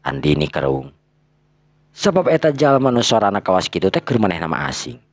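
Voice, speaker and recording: A man's voice: low voice, very animated, brisk, no room sound. A man with a Sundanese accent narrating a folktale dramatically, breaking phrases up for suspense. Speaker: storyteller.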